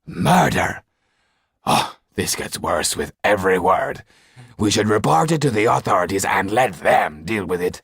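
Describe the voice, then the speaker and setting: A man's voice: deep voice, expressive, unhurried, gravelly, fairly bright tone, breathy edge, no echo, clean studio sound, exaggerated voice. A man voicing an anxious, theatrical, self-pitying theatre owner in a storybook, fretful, exclamatory and mournful. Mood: alarmed.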